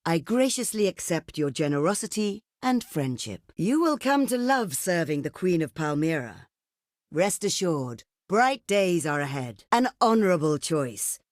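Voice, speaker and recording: A woman's voice: fairly low pitch, sing-song, a little slow, no room sound, clean studio sound. A woman playing a proud, imperious ancient desert queen for a video game, speaking in a regal, theatrical, declamatory way. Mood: gracious, approving. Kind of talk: video game diplomacy voice lines.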